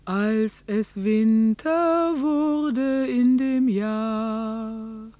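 A high-pitched old countrywoman in a radio play, stubborn and curt. The recording is close and dry, and she insists on her rights with fierce defiance. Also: extremely slow, warm tone, no room sound. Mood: slow, incantatory. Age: older. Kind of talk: German radio drama acting.

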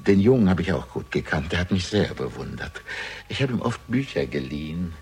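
An older killer in a radio crime play, his voice very husky and rough and fairly low. He is arrogant and gloating, delivering his words with sneering, theatrical grandeur that swings between murmur and outburst. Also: big pitch swings, fairly quick, darkish tone, full character voice. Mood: gloating confession. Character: arrogant, gloating older male murderer, fanatically proud father. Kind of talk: 1960s German radio crime drama acting.

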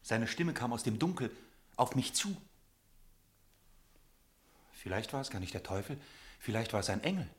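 A man's voice: mid-range voice, very animated, normal speed, pauses often, natural voice. An actor playing a haunted smuggler in a German radio drama, earnest and insistent in his speech. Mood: challenging, earnest. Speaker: character in a radio play.

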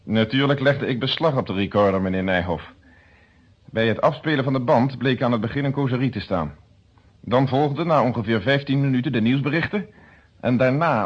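An actor playing a stern, methodical police inspector in a radio drama, speaking in an insistent, precise and formal way. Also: male voice, mid-range voice, husky. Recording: dull muffled old radio drama recording.

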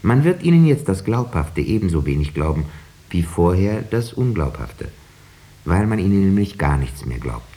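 A rational schoolteacher and narrator in 1950s radio drama. His voice is low and dark, with expressive intonation. He explains in a measured, didactic way. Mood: advising. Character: rational, measured male village schoolteacher who also narrates.

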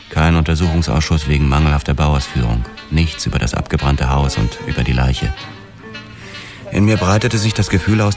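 An actor playing a haunted, brooding detective who narrates a radio drama in a grave, measured way over music. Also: male voice, rumbling low. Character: brooding, haunted male detective recounting a murder case. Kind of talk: first-person noir radio drama narration. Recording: music under the voice.

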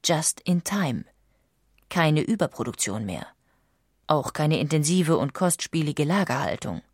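A woman reading scripted documentary narration with measured, precise enunciation. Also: low-pitched, sing-song, slightly rough, dry recording, clean studio sound.

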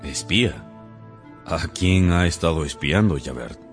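An actor playing a magnanimous, guarded mayor in a radio drama, with a gentle, measured, paternal manner. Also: male voice, sits low, animated, faint music behind. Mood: guarded surprise. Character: magnanimous, guarded town mayor with a hidden past.

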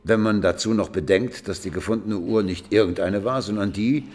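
A man's voice: lowish voice, moderate pace, darkish tone, slight background noise, lightly acted. An actor playing a pensive, doubting police detective who reasons skeptically with his partner in a crime radio play. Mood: skeptical reasoning. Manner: ruminative, skeptical.

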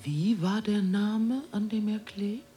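Slow, solemn alien sage. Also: male voice, high voice, steady tone, dark tone. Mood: scornful. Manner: solemn, pronouncing. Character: grave, aloof philosophical alien authority on the moon. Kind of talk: German radio drama acting.

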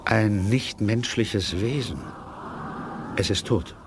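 An actor playing a brisk, resolute military officer in a 1980s German radio play, speaking in curt commands. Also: male voice, fairly low pitch, background noise. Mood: grave, decisive.

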